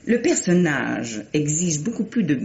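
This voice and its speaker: A woman's voice: low voice, very animated, a little slow, heavily acted. An actress playing a self-assured, politely condescending woman novelist in a 1950s style French radio play. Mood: polite, dismissive. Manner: polished, courteous, gently condescending. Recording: dull, muffled vintage radio recording.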